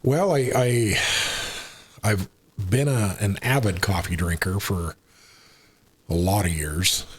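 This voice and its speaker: Older american guy in his 60s, deep and kinda husky, just rambling along in a laid back interview. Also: slow, pauses often. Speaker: podcast guest. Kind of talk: casual conversational interview.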